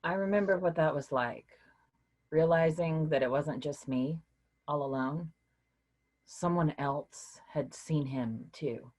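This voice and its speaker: A woman playing a weary but caring literature professor in a staged reading, speaking in a measured, hesitant, reassuring way with a husky voice. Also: low voice, a little mellow. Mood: gentle, earnest.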